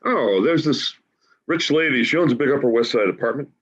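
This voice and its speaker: A man playing a big-hearted, folksy homeless dreamer in a staged play reading, coaxing and husky, on dull video-call audio. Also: mid-range voice, expressive, fairly quick. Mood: fond.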